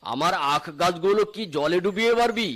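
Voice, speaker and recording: A man voicing a gruff, indignant tiger farmer in an animated cartoon, scolding and exclaiming as he talks. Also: high voice, fairly quick. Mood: angry, threatening. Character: gruff, indignant tiger farmer uncle guarding his sugarcane.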